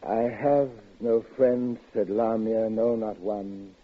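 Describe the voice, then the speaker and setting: An actor in a 1950s radio drama playing an obsessive, lonely man who threatens a woman with a knife, his soft crooning flaring into shrill outbursts. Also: steady tone, slow pace. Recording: tinny old radio broadcast with faint hiss.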